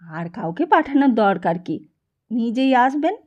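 A woman's voice: on the high side, very animated, unhurried, a little mellow. A woman voicing a humble, soothing and mysterious male shopkeeper in a storybook reading, politely evasive. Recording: dull lo-fi narration recording.

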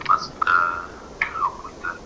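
A man's voice: sits low, completely flat delivery, slow pace. A man playing a family multiplayer game, chatting casually and thinking aloud in a hesitant way over voice chat. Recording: muffled lo-fi voice chat, faint noise.

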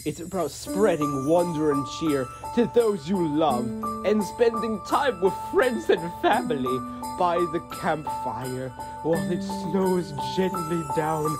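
A man's voice: a little high, animated, slow. A man voicing a blunt yet sentimental cartoon character. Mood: earnest. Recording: music playing behind the voice.

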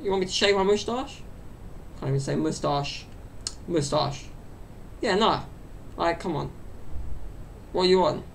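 A man's voice: measured pace, a little hiss or hum. A young man in his twenties hosting a solo podcast, rambling and chatty, speaking into a desk mic set off to the side in his bedroom. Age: 20s. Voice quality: high-pitched, gravelly. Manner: chatty and meandering, full of fillers. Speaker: podcast host. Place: small bedroom at home.

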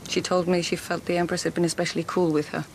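A woman's voice: on the low side, little pitch movement, fast talker, slight background noise, lightly acted. An actress playing a shrewd, composed lady of the court in a radio drama, formal and direct. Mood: poised, guarded.

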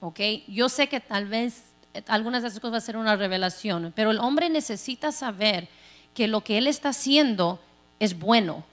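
A middle-aged woman pastor from Texas preaching a sermon on a large church stage into a handheld mic, animated and playful, acting out quoted voices. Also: a little high, moderate pace. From Texas, United States. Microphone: handheld microphone.

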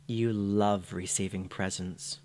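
Very slow-speaking man leading guided meditation. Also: on the low side, steady tone. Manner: soothing, unhurried, gentle phrasing.

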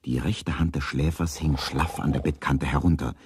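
A man narrating a children's radio play as a calm storyteller, reading scripted prose. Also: very deep voice, moderate pace, slight background noise. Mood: measured, storytelling.

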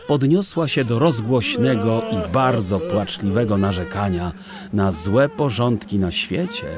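A man narrating a children's audio story in an expressive, literary storytelling style. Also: on the low side.

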